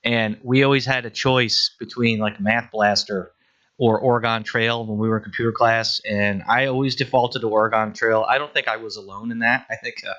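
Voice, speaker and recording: A man's voice: mid-range voice, fairly even intonation, moderate pace, pushed and bright. A man co-hosting a podcast, chatting in an enthusiastic, playful way. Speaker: podcast co-host. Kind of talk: casual podcast chat. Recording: slightly dull lo-fi audio.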